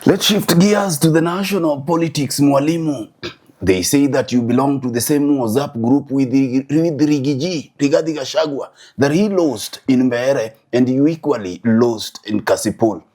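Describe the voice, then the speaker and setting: A man's voice: mid-range voice, moderate pace, a little mellow. A man with a Kenyan accent hosting an interview and asking probing questions, with some hesitations. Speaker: interviewer, show host.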